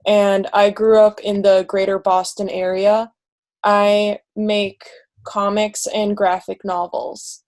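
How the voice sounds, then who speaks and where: A comics creator introducing themselves briskly on a panel over a video call. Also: mid-range voice, little pitch movement.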